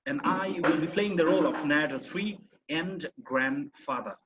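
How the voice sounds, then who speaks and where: A man, an online English tutor, speaking haltingly with fillers. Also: a little high, lo-fi sound, very noisy. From Bangladesh. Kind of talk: reading narration.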